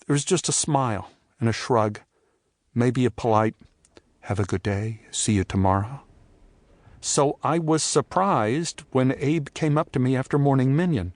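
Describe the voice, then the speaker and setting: An older man narrates an audio drama in a medium-pitched voice. His delivery is scripted yet personal, reflective and measured, recorded close and dry with little room sound. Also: no echo. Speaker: narrator and playwright telling his own story.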